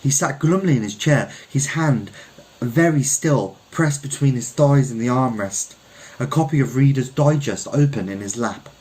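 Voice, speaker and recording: A man from Birmingham, England, reading a literary short story aloud in a measured, reflective manner. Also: medium pitch, darkish tone, poor audio. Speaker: story reader.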